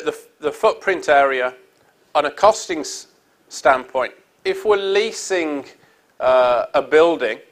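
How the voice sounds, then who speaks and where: A man's voice: a little high, fairly bright tone. A middle-aged man with a European accent giving a conference presentation on a hall stage, upbeat and salesy with frequent ums and uhs. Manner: upbeat salesy delivery with many fillers. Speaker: industry speaker.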